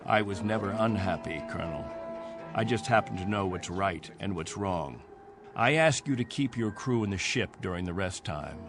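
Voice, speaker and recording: An actor playing a stern, proud, controlling captain of stranded settlers in a radio drama, with a commanding, insistent manner. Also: male voice, deep voice, lively intonation, moderate pace. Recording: radio drama with music underneath.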